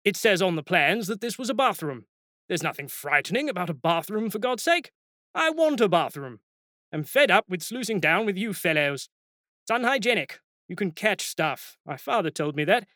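A male narrator voicing a blustering, bossy older head wizard who talks gruffly and insists on getting his way. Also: sits high, sing-song, normal speed, sharp tone, no room sound, clean studio sound, pitched above the performer's own voice, exaggerated voice. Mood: grumbling.

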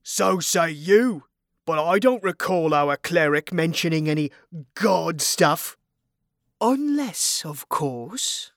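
A woman's voice: low-pitched, expressive, takes it slowly, dry recording, clean studio sound, exaggerated voice. A woman voicing a sarcastic, foul-mouthed male wizard in a fantasy audiobook, mocking and theatrical in delivery. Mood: sneering.

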